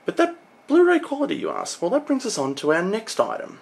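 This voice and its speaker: Young guy walking thru gear on camera, explains stuff, pitch goes up and down a lot. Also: wildly swooping pitch, a little fast, creaky, darkish tone, husky. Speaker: video presenter showing computer parts. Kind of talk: informal product walkthrough on camera.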